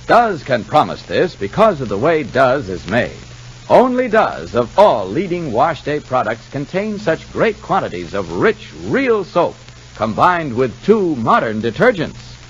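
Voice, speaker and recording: A male announcer from 1950 radio, delivering scripted narration and sales copy in a husky voice. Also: mid-range voice, huge pitch swings, unhurried, faint music behind. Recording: muffled 1950 radio broadcast.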